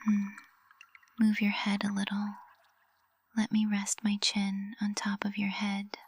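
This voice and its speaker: Woman doing a smitten witch teacher for asmr roleplay, super breathy whisper, tender and slow, bit of crackle in it. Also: mid-range voice, completely flat delivery, no echo. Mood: soft adoration. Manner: slow, tender, intimate delivery. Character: lovestruck high witch professor, usually cold and composed, tender. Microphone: close microphone.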